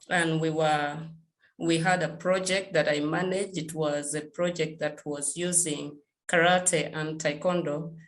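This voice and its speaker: A woman from Uganda, a researcher speaking on an online panel in a measured way with frequent hesitations. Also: low-pitched. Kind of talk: panel discussion.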